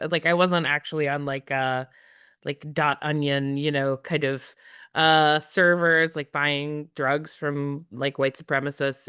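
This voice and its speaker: A woman in her thirties, a journalist guest talking fast and wryly with lots of fillers in a casual interview. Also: low-pitched, a bit bright, clean tone, dry recording, studio quality. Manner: fast, filler-heavy, wry.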